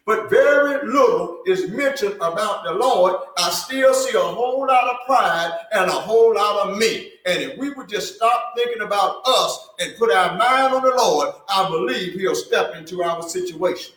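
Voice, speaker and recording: High-voiced preacher in an echoey room. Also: male voice, lively intonation, moderate pace, few pauses, a bit bright, far from the mic. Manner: preaching with rising emphatic repetition. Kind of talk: sermon.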